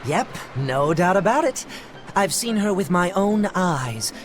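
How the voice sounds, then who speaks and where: A woman's voice: on the low side, expressive, measured pace, music in the background. A woman playing an easygoing shopkeeper in a video game cutscene, talking in a casual, folksy way.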